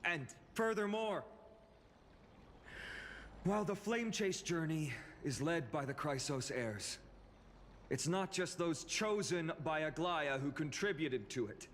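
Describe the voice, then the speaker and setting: An actor playing a gallant, earnest young fantasy hero in a video game, speaking in a measured, courteous way. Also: male voice, fairly high pitch, fairly bright tone, slight background noise. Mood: formal, grateful. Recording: long reverb, game music behind.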